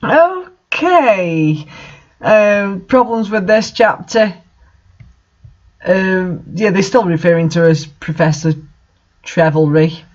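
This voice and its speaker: High-pitched man giving sarcastic, incredulous commentary. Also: expressive, slow, pauses often, muffled recording. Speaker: reader and commentator of bad fan fiction. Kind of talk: mocking read-aloud with casual commentary.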